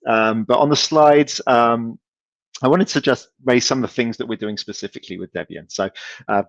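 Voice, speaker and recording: A middle-aged man fields audience questions over a video call, talking in a relaxed, halting way full of ums and false starts. The audio is dry and a little dull, with no room sound. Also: mid-range voice, no room sound. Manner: relaxed, hesitant, many ums and restarts, laughs easily. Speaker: company speaker answering audience questions. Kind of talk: casual conference Q&A.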